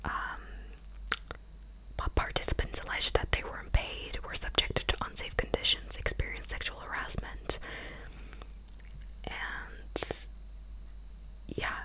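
A young woman doing a whispered ASMR recap, speaking close to the mic in a breathy, clicky, hushed and conversational way. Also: poor audio. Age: young adult. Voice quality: breathy unvoiced whisper, clicky mouth sounds. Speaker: ASMR creator. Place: small room indoors at home. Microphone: large desktop condenser mic, very close.

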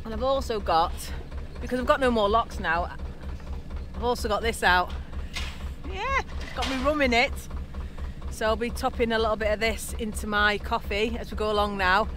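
A woman chatting casually to camera from a canal narrowboat, cheeky and quick to laugh. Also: fairly high pitch, a bit bright, slight background noise. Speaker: narrowboat vlogger. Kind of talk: casual vlog chat. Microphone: action camera at arm's length.

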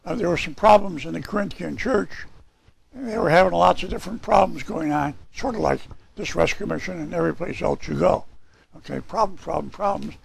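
A man in his sixties with a hoarse, raspy voice, preaching a sermon and reading scripture in a small church hall. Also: a little high, brisk. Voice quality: raspy, gravelly. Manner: gesturing, reading scripture aloud from papers. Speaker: pastor.

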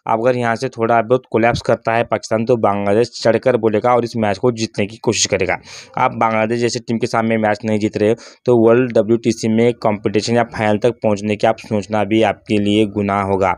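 A man with a smooth, fairly low voice delivers critical cricket analysis for his channel. His pitch stays quite flat as he puts rhetorical questions and firm opinions to the listener. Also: fast, no echo. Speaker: cricket commentator, channel host.

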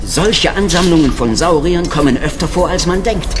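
An actor, a man, playing an excitable fossil expert in a children's audio drama, explaining things dramatically and full of wonder. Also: fairly high pitch, normal speed, music in the background, close to an everyday voice. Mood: awestruck. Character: awestruck, excitable fossil expert, adult scientist.

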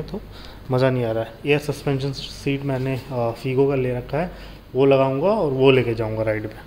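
A man with an Indian accent narrating a casual vlog, informally mixing English phrases into his speech. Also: mid-range voice. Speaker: motorcycle vlogger. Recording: clear background noise, ambient sound.

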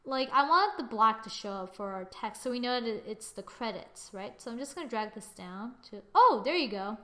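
A young woman giving a casual software tutorial, thinking aloud informally with muttered asides as she works. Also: on the high side, lively intonation, normal speed. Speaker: tutorial presenter. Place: indoors, voice-over to a screen recording.

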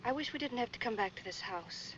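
An affectionate young bride in a 1940s noir film. She talks quickly, on a muffled soundtrack with a little hiss. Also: on the high side, animated, a bit bright. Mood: wistful, tender. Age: young adult.

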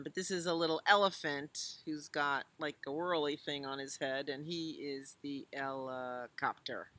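A woman's voice: sits low, sharp tone. An American woman in her sixties reading a picture book aloud to young children outdoors, with simple explanatory asides and questions. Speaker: children's librarian reading storytime. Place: outdoors in a leafy garden.

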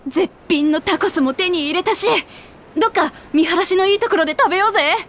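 A woman voicing a brash, hot-headed but friendly young lion-woman princess in a game, with rough boyish speech. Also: extremely high pitch, fast talker, a bit bright. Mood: cheerful, casual. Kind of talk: video game cutscene acting.

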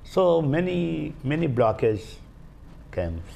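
An older man with an Indian accent explains things as a studio guest. He speaks slowly in a gravelly voice, searching for words and repeating himself. A faint noise sits behind him. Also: a little high, very animated, dark tone. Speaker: guest, Vastu healer. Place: small indoor TV studio. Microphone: lapel mic.

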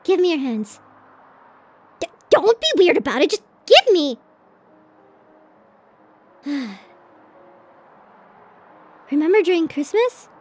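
A woman's voice: very high-pitched, exaggerated voice. A woman voicing a bashful, tender tsundere college girlfriend in a romantic ASMR roleplay, flustered and hesitant as she swings between protest and affection. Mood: flustered, indignant.

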